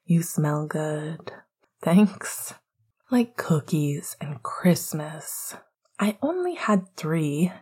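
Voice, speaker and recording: A woman voicing a tender, teasing young man in love in a breathy, hushed near whisper, for a story reading. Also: low-pitched, big pitch swings, drawn-out words, full character voice. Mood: soft teasing.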